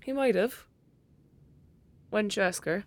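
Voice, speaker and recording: A woman's voice: medium pitch, unhurried, close to an everyday voice. A woman with an Irish accent playing a cheeky, protective young best friend in a naturalistic audio drama, youthful, colloquial and emphatic. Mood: gossipy, amused.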